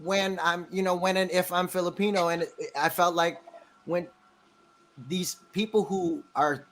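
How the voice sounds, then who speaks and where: A man with a somewhat high voice hosts a casual podcast chat. He talks like a comedian, telling stories in an animated, joking way and acting out other people's remarks. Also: measured pace. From California. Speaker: podcast host and stand-up comedian.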